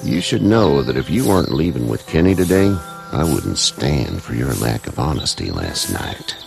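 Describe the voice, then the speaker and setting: A shrewd old farmer from a video game. His voice is extremely deep and gravelly, and he speaks bluntly like a stern father, over music. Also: sing-song, moderate pace, exaggerated voice. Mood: stern, probing. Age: older.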